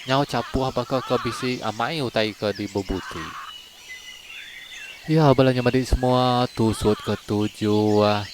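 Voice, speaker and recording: A man's voice: mid-range voice, a little slow, noisy background. A man telling a long folk story in a conversational way as a channel storyteller, then asking listeners to like and subscribe. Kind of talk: told folk story in Iban.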